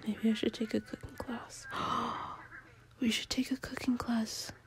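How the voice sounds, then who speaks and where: Sleepy, affectionate girlfriend for ASMR roleplay, played by a woman; highish voice, very unhurried, breathy murmur, gently teasing. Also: level tone, lightly acted. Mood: dreamy, affectionate. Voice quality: breathy whisper and soft murmur. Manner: drowsy, playful, unhurried. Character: sleepy affectionate girlfriend cuddling while watching a baking show. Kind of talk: ASMR roleplay, intimate and casual.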